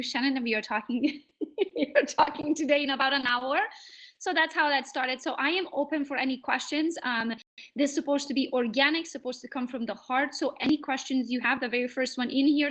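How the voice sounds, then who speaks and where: Brief: Hungarian-accented woman, candid panel speaker, somewhat high voice, quick laughs. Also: a bit bright. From Transylvania, Romania. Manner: candid, chatty, laughs often. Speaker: real estate agent, panel speaker. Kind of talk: conference panel talk.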